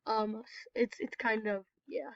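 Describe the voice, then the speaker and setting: A woman's voice: on the high side, steady tone, measured pace. Congested woman chatting over a game. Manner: chatty, rambling, apologetic. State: nasal and congested from a cold. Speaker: gameplay video host. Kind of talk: casual game commentary. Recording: dull, lo-fi, dry recording.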